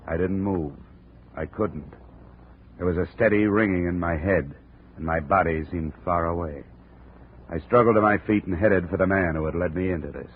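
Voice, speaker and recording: Actor as terse tough-guy investigator, deep voice, old radio, faint hiss. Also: normal speed, narrow, tinny audio, lightly acted. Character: hard-boiled male insurance investigator. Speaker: lead actor and narrator. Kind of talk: 1950 radio drama narration.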